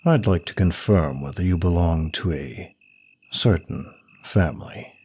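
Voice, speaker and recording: A man playing a seductive, arrogant demon prince of lust in an intimate ASMR roleplay, speaking in a smug, suave, deliberate manner. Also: deep voice, dark tone, husky. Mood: smug, probing.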